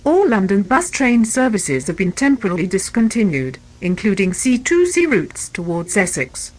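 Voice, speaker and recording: A woman's voice: mid-range voice, big pitch swings, normal speed, darkish tone, low-quality recording. A woman reading a scripted emergency alert in a formal, impersonal manner. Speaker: emergency announcer.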